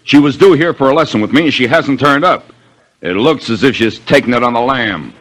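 An American actor playing a touchy, evasive music school owner in a 1950s radio mystery, defensive and blustering. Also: male voice, medium pitch, fairly quick, fairly bright tone, natural voice, low-quality recording. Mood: accusing. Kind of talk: 1950s radio detective drama acting.

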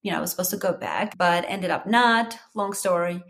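A middle-aged woman from Armenia, whose first language is Armenian, hosting her own podcast in a chatty, animated solo talk addressed to her listeners. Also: lowish voice, fairly quick. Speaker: podcast host.

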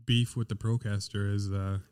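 A man with a naturally deep voice, co-hosting a casual podcast chat on a studio microphone, easygoing and rambling with fillers. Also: level tone, normal speed, a little mellow, clear, resonant tone, no room sound. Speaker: podcast co-host.

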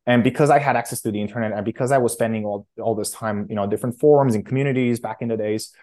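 A man, answering interview questions in a casual, halting way with many restarts. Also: mid-range voice, a little mellow. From Romania. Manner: halting, frequent self-restarts and fillers. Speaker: startup founder guest.

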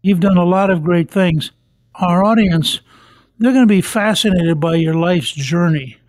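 An older man hosting a podcast, introducing his guest in a measured, formal way and asking her questions. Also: high-pitched, darkish tone, dry recording, clean studio sound. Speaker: podcast host.

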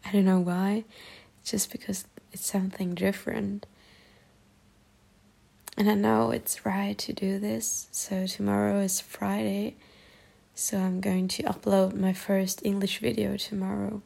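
A young woman with a German accent, German her first language, musing softly and partly whispering while lying in bed at night for her vlog. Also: medium pitch, flat delivery, measured pace, gravelly. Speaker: YouTuber. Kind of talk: late-night personal vlog.

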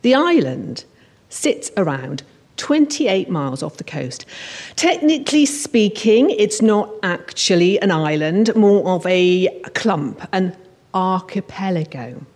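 Expressive woman reading her fiction on stage. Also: mid-range voice, slow pace. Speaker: writer reading own work on stage. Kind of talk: live literary reading of first-person crime fiction. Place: on stage at a live showcase event in a hall.

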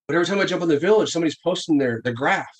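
A man's voice: on the high side, expressive, very fast. A man chatting casually on a podcast, telling stories with frequent laughs and swearing. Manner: storytelling, swears freely, laughs often.

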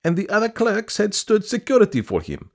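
A man voicing a whining, stubborn middle-aged woman who pleads her case in a shrill, insistent wail from a storybook. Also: huge pitch swings, exaggerated voice. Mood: aggrieved, pleading. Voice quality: shrill, piping. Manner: wailing, insistent, rapid complaining.

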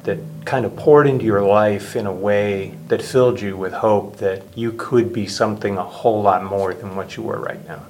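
A man asking an interview guest questions in a measured, empathetic way. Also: on the low side, steady tone, darkish tone, a little hiss or hum.

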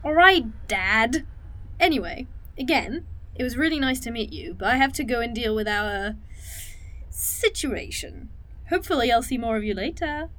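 Woman playing a high-voiced, teasing, cocky young woman. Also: lively intonation, normal speed, a bit bright. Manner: teasing, breezy, dry. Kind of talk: audio drama acting.

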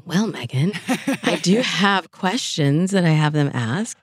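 A woman's voice: lowish voice, expressive, a little slow, slightly rough, no echo, clean studio sound. A woman speaking as a dating coach and interview guest, explaining things conversationally and with emphasis. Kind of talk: conversational expert advice.

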